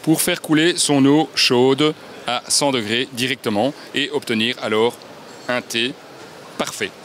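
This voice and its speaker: A man's voice: medium pitch, steady tone, a little slow, a bit bright, a little hiss or hum. A man in his thirties explaining kitchen products as a brand representative, agreeable, with many fillers. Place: large indoor trade fair hall.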